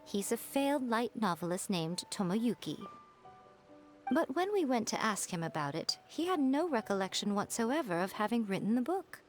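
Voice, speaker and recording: A sly, higher-pitched teasing shrine maiden. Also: female voice, very animated, faint music behind. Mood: composed. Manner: teasing, poised, unhurried explaining. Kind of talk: video game character acting.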